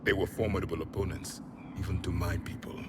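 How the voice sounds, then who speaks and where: An actor playing an ancient alien warrior in a video game, haughty and contemptuous, with a measured, imperious manner. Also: male voice, low voice, steady tone, lightly acted. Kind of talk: video game character acting. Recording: clear background ambience noise.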